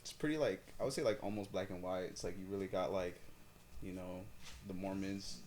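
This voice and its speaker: A man's voice: sits low, steady tone, brisk, darkish tone, slight background noise, poor audio. A young man co-hosting a casual podcast chat, rambling loosely with plenty of "like" fillers. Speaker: podcast co-host.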